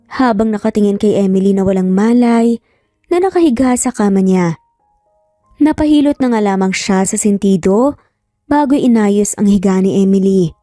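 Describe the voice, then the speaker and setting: A woman's voice: medium pitch, mellow, dry recording, clean studio sound. A woman narrating a scripted romance story in a dramatic storytelling style. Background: music.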